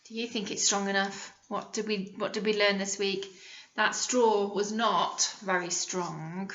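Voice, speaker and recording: A British woman, a primary school teacher, reading a picture book aloud to young children and pausing to explain and ask simple questions. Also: medium pitch, fairly even intonation, fairly bright tone, reverberant, dull audio, a little hiss or hum.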